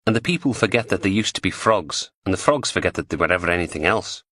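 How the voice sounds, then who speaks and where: A man voicing a deadpan male psychologist with a flat Liverpool accent, talking in a measured way. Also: low-pitched, dry recording, studio quality, heavily acted.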